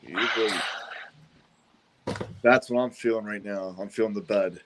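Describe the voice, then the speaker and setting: A man's voice: fairly low pitch, moderate pace, noisy background. A middle-aged man in casual chat who agrees often in short bursts and swears freely.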